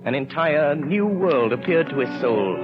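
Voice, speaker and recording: A man narrating a literary radio drama of the 1930s in a grave, theatrical manner with measured phrasing. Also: on the high side, expressive, over music. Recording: muffled 1930s radio recording.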